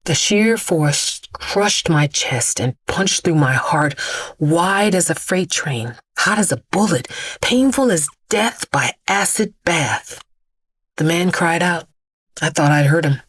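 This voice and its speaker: A haunted immortal bag lady vigilante, deep womanly voice, intense slow-burn audio drama storytelling, super dry sound. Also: lively intonation, slightly rough, dry recording, heavily acted. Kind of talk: dramatic first-person monologue in audio drama.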